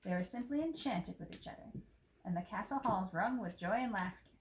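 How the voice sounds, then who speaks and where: A woman reading a children's picture book aloud, in expressive narration with dramatic emphasis on descriptive words. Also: mid-range voice, brisk, a little hiss or hum, low-quality recording.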